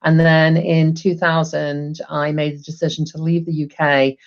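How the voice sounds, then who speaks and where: A woman's voice: sits low, little pitch movement, normal speed, dull audio. A middle-aged woman from England, chatting upbeat as an interview guest over a video call, with many ums. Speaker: guest, HR executive. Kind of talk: casual interview talk. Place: home room, video call.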